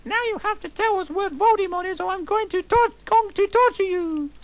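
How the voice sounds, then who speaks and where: A man putting on the voice of a melodramatic teenage goth girl narrator in an overwrought falsetto. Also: normal speed, no room sound. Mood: shrill. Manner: shrill, overwrought squealing.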